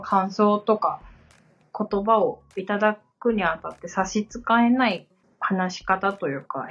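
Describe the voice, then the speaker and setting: A woman assistant being coached, speaking politely and a little hesitantly as she searches for words. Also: on the low side. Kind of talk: casual, polite listening and questions. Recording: dull lo-fi sound, faint music behind.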